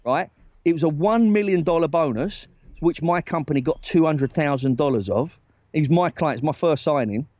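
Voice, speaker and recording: A man, a talent agent and guest on a podcast, telling stories in a loose, animated way with lots of "right?" tag questions. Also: on the high side, a little fast, dry recording. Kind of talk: casual storytelling chat. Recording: dry, dull lo-fi sound.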